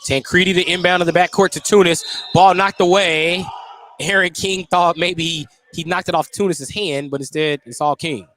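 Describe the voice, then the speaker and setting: A man's voice: high-pitched, steady tone, normal speed, a bit bright. An American man doing live play-by-play basketball commentary on the radio.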